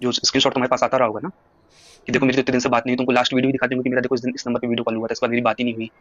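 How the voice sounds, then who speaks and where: A man's voice: medium pitch, monotone, rapid-fire, a bit bright, dry recording. A man talking casually and insistently at length on a recorded phone call, trying to persuade the other person. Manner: insistent, long-winded, persuasive.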